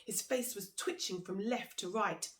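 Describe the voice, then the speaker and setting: A woman's voice: mid-range voice, unhurried. A woman reading a children's folk tale aloud as a storyteller, with dramatic delivery aimed straight at the listener. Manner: dramatic, engaging the listener directly. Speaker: author and storyteller.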